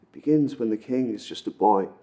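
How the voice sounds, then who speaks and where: A man acting a dramatic monologue as an earnest, wistful storyteller recounting an old legend, with intense pauses and swells. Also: lowish voice, mellow, close to an everyday voice. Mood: earnest, wondering. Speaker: amateur actor performing a film monologue.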